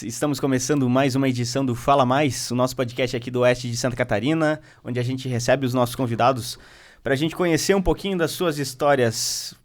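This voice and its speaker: A man hosting a regional podcast, welcoming listeners and guests in a measured way. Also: mid-range voice. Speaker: podcast host. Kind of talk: podcast host intro and interview.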